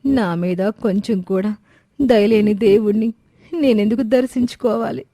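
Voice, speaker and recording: A woman's voice: mid-range voice, fairly even intonation, measured pace, dark tone, no room sound, clean studio sound, lightly acted. A woman voicing a sorrowful, weary and bitter bereft woman in a moral story. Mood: bitter grief. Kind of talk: Telugu moral story character acting.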